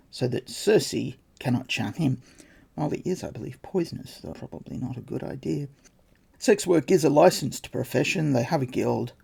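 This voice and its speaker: A man's voice: medium pitch, vocal fry, mellow, breathy edge. A man hosting a podcast, reading his research notes aloud with dry, wry asides. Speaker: podcast host.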